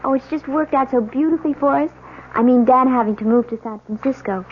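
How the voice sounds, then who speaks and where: An actress playing an eager, trusting young newlywed bride in a radio drama, chatty and earnest. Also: female voice, high-pitched, quick pace, husky. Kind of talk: 1970s radio drama acting. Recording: tinny, noisy old radio broadcast.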